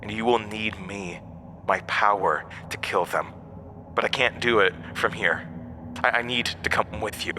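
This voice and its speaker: An actor playing an ancient monster, a persuasive, scornful tempter who speaks with theatrical, deliberate emphasis in a horror audio drama. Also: male voice, on the low side, noisy background, lightly acted. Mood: coaxing, insistent.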